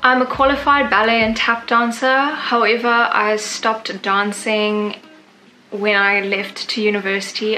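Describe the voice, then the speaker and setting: Bright, chatty young woman vlogging. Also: medium pitch, rough recording. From Zimbabwe. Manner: chatty, upbeat, many "like" and "yeah" fillers. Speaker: YouTuber. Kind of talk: casual facts vlog to camera. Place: bedroom with a sofa, indoors. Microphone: camera in front at desk distance.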